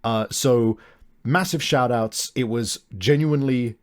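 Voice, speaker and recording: A man's voice: mid-range voice, a little slow. A man hosting a podcast, chatting casually and appreciatively during a break in the show. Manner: upbeat, appreciative. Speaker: podcast host. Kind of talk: casual break-time announcement.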